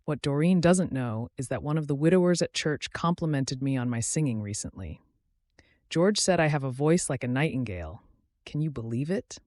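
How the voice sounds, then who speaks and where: A man's voice: mid-range voice, animated, moderate pace, darkish tone, no echo, studio quality, natural voice. A man voicing a kindly, bashful older woman, a folksy frontier cook in an audiobook. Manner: folksy, dropped g's, homely endearments.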